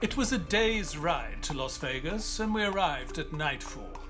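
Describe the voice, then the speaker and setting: An earnest doctor-companion, voiced by a man narrates an audio drama in a slightly raised register. He sounds curious and engaged, and his pitch moves freely as he tells the tale and poses questions. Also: very animated, unhurried, over music.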